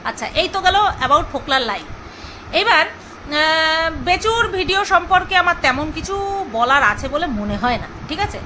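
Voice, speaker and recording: A woman's voice: extremely high pitch, very animated, measured pace, bright, forceful tone, slight background noise, low-quality recording. A woman doing casual gossip commentary as a video blogger, scolding and mocking, hammering points home by repeating phrases. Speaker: video blogger commenting on other online personalities.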